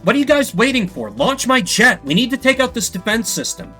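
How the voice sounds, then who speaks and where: A man's voice: extremely high pitch, very animated, fairly quick, sharp tone, faint music behind, voice pushed up, heavily acted. A man voicing a brash young jet pilot who barks cocky, impatient commands.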